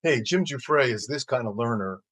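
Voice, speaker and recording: An older American man speaks as an expert guest in an interview, with a medium-pitched voice. His delivery is measured and explanatory, with some hesitant phrasing, and the sound is dry. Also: expressive, fairly bright tone, no echo. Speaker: interview guest, healthcare executive. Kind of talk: conversational interview answers.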